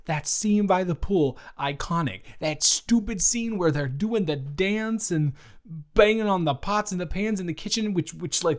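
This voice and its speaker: A young American man improvising a casual roleplay chat, talking emphatically and haltingly, repeating words for stress. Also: a little high. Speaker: audio roleplay performer.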